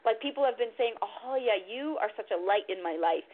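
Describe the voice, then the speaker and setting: A woman's voice: on the high side, expressive, brisk. A woman coach, a native Tamil speaker, talking earnestly and reflectively as a guest on a live radio show, often restarting her sentences. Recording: phone line.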